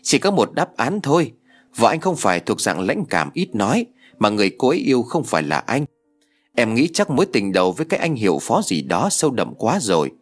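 Male narrator as a scheming mistress, sugary and coaxing, animated delivery. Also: medium pitch, a little fast, husky, soft background music. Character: young mistress, sweet-talking and scheming.

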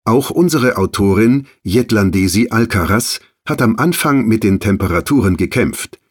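Male audio magazine presenter, lowish husky voice, scripted intros for learners. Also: lively intonation, moderate pace, no echo, studio quality.

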